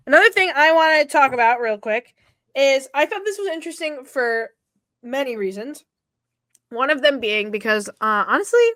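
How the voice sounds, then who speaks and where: Slangy young woman, bright dry voice. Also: on the high side, no room sound. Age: 20s. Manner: slangy, swears casually, many fillers. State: wheezy. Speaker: podcast co-host. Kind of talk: casual sports chat.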